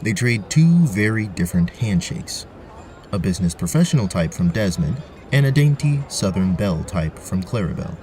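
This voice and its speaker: A man's voice: on the low side, very animated, normal speed, mellow, distant mic, a little hiss or hum. A man narrating a scripted tabletop fantasy story as the game master.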